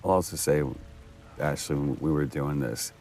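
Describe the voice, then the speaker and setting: A man's voice: extremely low pitch, moderate pace, mellow, soft background music. A man speaking as an actor in a casual, unscripted commentary chat.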